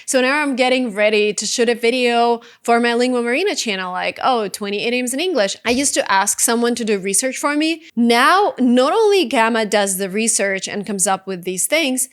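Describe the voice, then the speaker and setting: A woman's voice: on the high side, lively intonation, moderate pace, few pauses. A woman, giving casual, emphatic, conversational advice as a video creator. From Russia. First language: Russian. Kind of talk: casual talking-head advice vlog.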